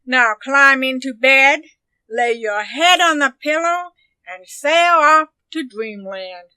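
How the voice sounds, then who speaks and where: Casting: a woman as a lively, doting storybook granny, high and forceful, easily astonished, singing out her orders. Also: slow pace, no echo, exaggerated voice. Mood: fond, coaxing. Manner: emphatic, sing-song instructions, shrieking exclamations. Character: energetic, doting grandmother, explosively astonished, fussing over bedtime. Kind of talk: children's storybook character voice.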